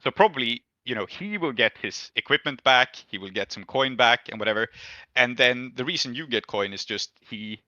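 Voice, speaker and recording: A man's voice: mid-range voice, animated, normal speed, bright, forceful tone, no echo, muffled recording. A man running a tabletop game as game master, casually talking through his rulings and explaining his reasoning.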